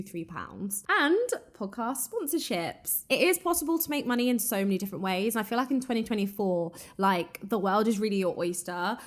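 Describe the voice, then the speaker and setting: A woman in her twenties hosting a podcast, talking frankly and casually with lots of "like" fillers, her accent said to carry a faint northern twang. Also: mid-range voice. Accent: southern English with a slight northern twang. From England and Ireland. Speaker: podcast host. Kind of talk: casual solo chat.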